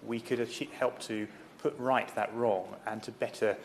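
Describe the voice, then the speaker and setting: A man and member of parliament making a halting intervention in a debate, with many fillers and restarts. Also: medium pitch, steady tone, a little hiss or hum.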